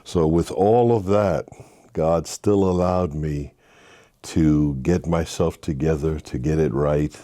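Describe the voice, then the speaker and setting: An older man from Haiti, a guest telling his life story with earnest faith, with halting restarts. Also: a bass voice, mellow, no room sound, studio quality. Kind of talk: interview, personal story.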